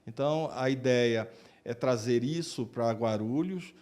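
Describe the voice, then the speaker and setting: A man's voice: medium pitch, unhurried, a little mellow. A man with a Brazilian Portuguese accent answering interview questions as a doctor, often repeating words as he searches for phrasing. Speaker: oncologist and researcher being interviewed. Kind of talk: interview answers, explaining his work.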